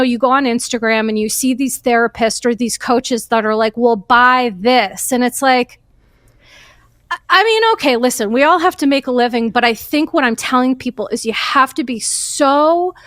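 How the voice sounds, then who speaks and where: Brief: woman podcast host, earnest and emphatic, fairly high voice, dry close sound. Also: moderate pace, fairly bright tone, dry recording. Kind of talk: casual podcast conversation.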